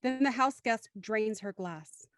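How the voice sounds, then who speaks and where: A woman's voice: fairly high pitch, a little slow, no room sound, studio quality, natural voice. A woman narrating a scripted audio drama as its stage-direction narrator.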